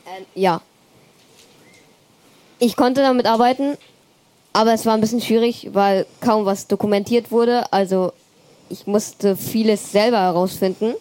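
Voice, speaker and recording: A woman's voice: mid-range voice, a little mellow. A woman explaining her team's project and answering questions plainly. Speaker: student presenter. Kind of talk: project presentation and Q&A.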